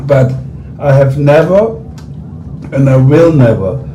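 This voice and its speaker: A man from Germany with a German accent, an actor talking in a casual interview in short, halting phrases. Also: mid-range voice, animated, mellow, slight background noise, poor audio. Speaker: actor guest.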